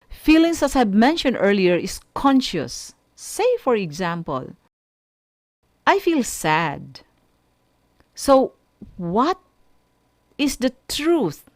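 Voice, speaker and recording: A woman with a Filipino accent giving an instructional radio talk with tips and examples, deliberate and emphatic, repeating key phrases. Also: medium pitch, no echo. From Philippines. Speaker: speaker giving a mental health lesson on a school radio programme.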